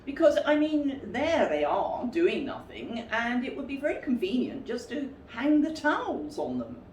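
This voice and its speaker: Woman voicing a fussy, emphatic storybook rabbit, swooping pitch. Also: sing-song, a little slow. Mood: cheerful. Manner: emphatic, matter-of-fact scolding. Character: Rabbit, a brisk, stern, fussy male rabbit. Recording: roomy, distant mic with faint noise.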